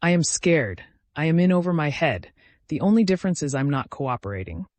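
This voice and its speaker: A man's voice: fairly high pitch, very animated, fairly quick, darkish tone, no echo. A man voicing a determined, haunted young man in his twenties, speaking earnestly in a dramatic audio story. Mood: candid, resolute. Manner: earnest, resolute.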